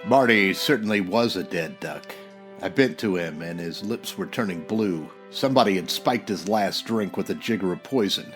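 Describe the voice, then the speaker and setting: A man playing a wry, deadpan private eye narrating his case in slangy old-time radio detective style. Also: sits low, moderate pace. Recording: music under the voice.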